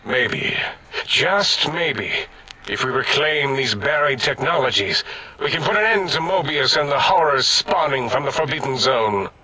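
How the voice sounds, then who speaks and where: A man's voice: medium pitch, expressive, a little slow, sharp tone, breathy edge, heavily acted, poor audio. A man voicing a pompous, imperious robot scientist in a video game, declaiming in a grandiose, pedantic way. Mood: grave, grandiose. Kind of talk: video game character acting.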